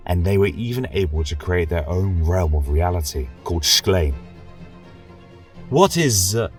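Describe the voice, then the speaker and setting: A man's voice: sits low, big pitch swings, unhurried, soft background music. A man playing a genial, rambling lecturer at a college of magic, speaking in a flowery, coaxing way in a scripted fantasy audio drama. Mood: encouraging. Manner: flowery, gently coaxing, chuckling scholar.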